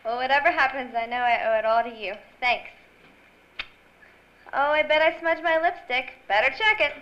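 Female actor as sweet hopeful waitress, high-pitched, perky radio acting, muffled recording with faint noise. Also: moderate pace, fairly bright tone. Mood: nervous, excited. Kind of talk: old-time radio drama acting. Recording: dull live stage recording, faint noise.

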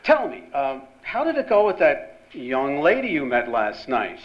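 An American man playing a brisk, jovial newspaper editor and fatherly boss in a period radio drama. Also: mid-range voice, wildly swooping pitch. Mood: jovial, teasing. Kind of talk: 1940s-style radio drama acting. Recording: dull lo-fi sound, faint noise behind.

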